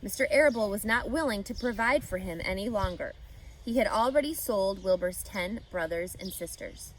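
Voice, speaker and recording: Female storybook reader, animated intonation, deliberate emphasis, noticeable background noise. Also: medium pitch, low-quality recording. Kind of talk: expressive children's read-aloud narration.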